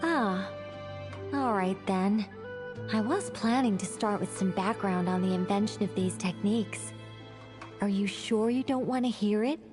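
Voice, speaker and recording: A woman's voice: mid-range voice, a little slow, music in the background, lightly acted. Actress as a formal, scholarly, self-assured woman. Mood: explanatory. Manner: formal, precise, explanatory. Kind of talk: video game voice acting.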